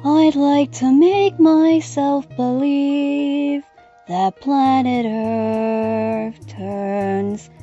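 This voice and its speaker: A woman's voice: high voice, steady tone, very slow, dark tone, muffled recording, faint music behind, heavily acted. A woman playing a sweet, dreamy singer in a fan comic dub.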